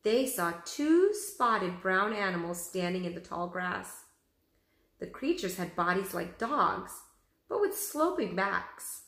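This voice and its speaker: A woman teacher reads a story to children in a bright, forward voice. Her pace is slow and deliberate, with expressive delivery, and the room around her sounds echoey. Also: mid-range voice. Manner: expressive, addressing young pupils. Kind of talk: children's story read-aloud.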